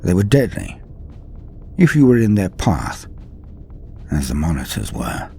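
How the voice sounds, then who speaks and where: Low, mellow man narrating a grave war story. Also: huge pitch swings, unhurried, gravelly. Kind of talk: dramatic scripted storytelling narration. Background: music under the voice.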